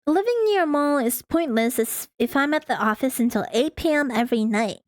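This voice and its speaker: A woman's voice: high voice, expressive, fairly quick, a little mellow, no echo, clean studio sound. A woman streaming a visual novel, reading the text aloud and breaking into playful, casual asides. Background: faint music.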